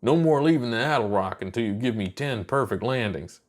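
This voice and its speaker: A man voicing a gruff, easygoing veteran space pilot and instructor with a casual, folksy drawl in an audio story. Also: mid-range voice, animated, fairly quick, close to an everyday voice. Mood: casual, instructing.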